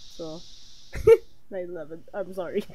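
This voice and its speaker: A woman's voice: fairly low pitch, unhurried, dark tone. Wry woman streamer, faintly noisy audio. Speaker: game streamer. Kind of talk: casual reacting commentary on a playthrough.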